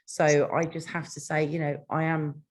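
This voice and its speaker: A woman's voice: low voice, little pitch movement, moderate pace, a little mellow. A British woman, an author, who reads fiction aloud and chats casually about it. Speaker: author and show host, guest. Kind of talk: casual chat and reading own fiction aloud.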